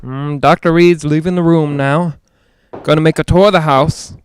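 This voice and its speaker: A man playing an excitable, skeptical reporter in a 1940s-style radio drama, hesitant and stammering when rattled. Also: a little high, moderate pace, clean tone, lightly acted. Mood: casual. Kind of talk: 1940s radio drama acting, live on-the-scene commentary.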